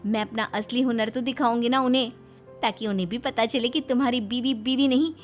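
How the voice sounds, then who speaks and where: A woman's voice: on the high side, fairly even intonation, a little fast, natural voice. A woman voicing a brash, swaggering young daughter-in-law in a cartoon story, cocky and theatrical. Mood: boastful. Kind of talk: Hindi cartoon story dubbing. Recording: background music behind the voice.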